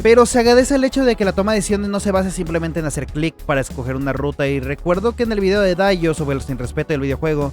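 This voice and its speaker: Male video essay narrator, Latin American Spanish accent, very quick scripted read with conversational asides over a music bed. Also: sits high, a little mellow.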